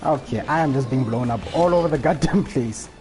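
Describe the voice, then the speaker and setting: A man's voice: medium pitch, a little fast. A man giving casual, think-aloud commentary while playing a video game on a live stream, with mild swearing. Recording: game music behind the voice. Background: loud game music and effects.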